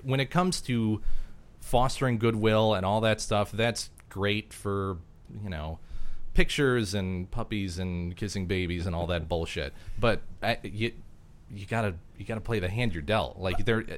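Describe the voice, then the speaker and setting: A man's voice: fairly low pitch, measured pace. A man in a political discussion, blunt and sardonic, swearing casually. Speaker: panelist.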